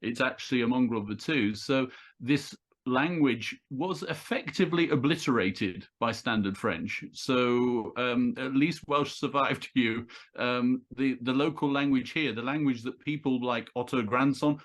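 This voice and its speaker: A man's voice: medium pitch, unhurried. A man from Lancaster, the author at an online book launch, explaining history in a discursive way with wry asides. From Lancaster, England. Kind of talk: casual discussion.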